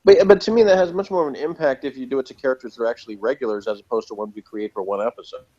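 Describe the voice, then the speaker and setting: A man's voice: mid-range voice, rapid-fire, darkish tone, highs cut off. A man chatting casually and wryly as a podcast co-host, reading out notes and commenting on them.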